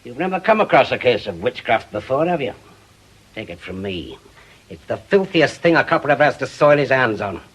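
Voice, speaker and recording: An actor playing a bitter, vengeful widowed ex-policeman in a British radio drama, forceful and sardonic. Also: medium pitch, sing-song, brisk, breathy edge. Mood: bitter, scornful. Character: bitter, obsessive widowed ex-policeman bent on revenge.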